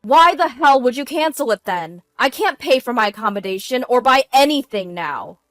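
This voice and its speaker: A desperate, whining husband caught cheating, acted by a woman narrator, in a narrated drama. He stammers and begs in a raised, strained pitch, recorded close and dry. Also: big pitch swings, drawn-out words, a bit bright, dry recording, exaggerated voice. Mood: angry, startled.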